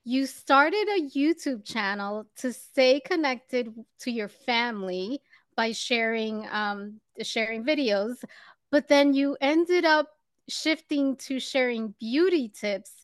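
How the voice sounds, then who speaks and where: A woman's voice: fairly high pitch, takes it slowly, no room sound. Upbeat woman host, dry close mic. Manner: warm, upbeat greeting of "loves". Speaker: podcast host. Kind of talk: interview host, scripted intro then chat.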